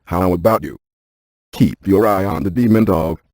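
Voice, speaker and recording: A man playing a brainy, stiff ghost-hunting scientist in a video game, speaking in a precise, clinical deadpan. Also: low voice, normal speed, no echo. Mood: urgent, clipped.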